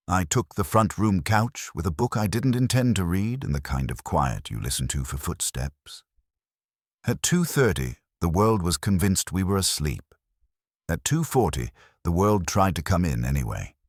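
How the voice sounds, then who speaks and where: A man reading a first-person detective story as narrator, in a dry, measured noir manner. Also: deep voice, no echo.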